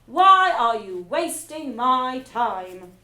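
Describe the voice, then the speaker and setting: A woman voicing a bullying headmistress who barks her lines loudly and scornfully. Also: high voice, sing-song, very slow, reverberant, exaggerated voice. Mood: scornful bellowing. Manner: loud, scornful barking. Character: bullying, barking female headmistress.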